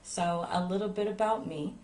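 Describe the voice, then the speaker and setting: Low-voiced, nervous young woman from Montreal. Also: steady tone, normal speed, darkish tone. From Montreal, Canada. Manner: chatty, informal, rambling. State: nervous, a little sleepy. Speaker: travel content creator. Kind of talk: casual personal chat to online viewers. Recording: echoey room.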